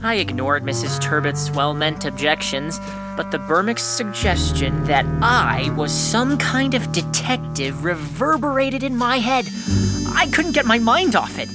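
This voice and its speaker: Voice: mid-range voice, very animated, a little slow, over loud music. An actor playing a precocious, pompous middle-school would-be detective in a children's audio drama, speaking in formal, bookish language. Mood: earnest narrating. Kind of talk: scripted children's audio drama, first-person narration and dialogue.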